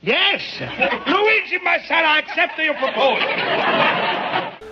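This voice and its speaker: A man's voice: extremely high pitch, expressive, takes it slowly, over music, exaggerated voice. An actor playing a pushy, scheming older father in an old radio comedy, wheedling and exuberant. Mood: triumphant. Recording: dull noisy vintage radio recording.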